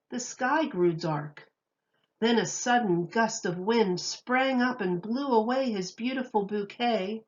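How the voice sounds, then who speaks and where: A woman teacher reading a children's story aloud, expressively, with deliberate, emphatic phrasing. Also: medium pitch, muffled recording.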